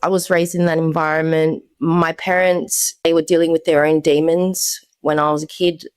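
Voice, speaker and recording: Aussie woman, pretty low voice, dry close sound, just talking openly about her life. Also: little pitch movement, moderate pace, darkish tone, clean tone, no echo. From Australia. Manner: candid, conversational. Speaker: guest. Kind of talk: personal testimony.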